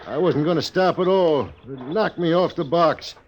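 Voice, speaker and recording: An actor playing an angry, accusing wounded stagecoach driver in a 1950s radio western, blunt and insistent in folksy speech. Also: male voice, on the high side, moderate pace, darkish tone, lightly acted. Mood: bitter, accusing. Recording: dull, noisy old radio recording.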